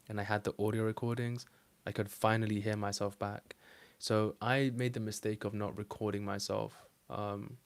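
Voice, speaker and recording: Low, flat-voiced young man. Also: clean tone. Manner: relaxed, rambling, with many fillers and restarts. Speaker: dating coach. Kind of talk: casual talk to camera answering comments.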